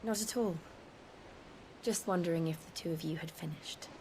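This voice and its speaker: An actress voices a composed young woman in a video game, her pitch a little low. She sounds understated and gently dry, with clear ambient noise behind her. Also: normal speed, natural voice. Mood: dryly amused.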